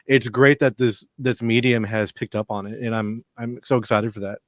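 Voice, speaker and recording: A man's voice: mid-range voice, steady tone, normal speed, dry recording, studio quality. A man co-hosting a live stream chat, enthusiastic and stumbling over his words. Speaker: podcast society co-host. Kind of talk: casual chat.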